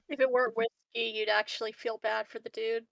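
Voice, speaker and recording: A woman's voice: fairly high pitch, little pitch movement, moderate pace, gravelly, no echo, studio quality. A woman chatting casually as a player in a tabletop roleplaying game. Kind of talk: casual chat.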